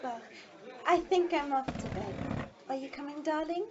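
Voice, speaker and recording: A woman acts out a wealthy young married lady in an audio drama. Her voice is high, with a proper, imperious manner and an anxious edge. The sound is slightly dull and muffled. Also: expressive, a little slow, noisy background. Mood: polite. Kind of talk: amateur audio play acting.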